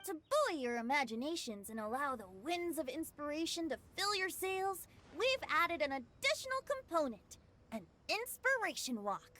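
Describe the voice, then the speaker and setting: A boyish young bard from an anime game, very high in pitch with lively, swooping intonation. The character is breezy and carefree, teasing lightly and speaking in lyrical turns of phrase. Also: female voice, normal speed, a little hiss or hum, full character voice. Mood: cheerful, lyrical.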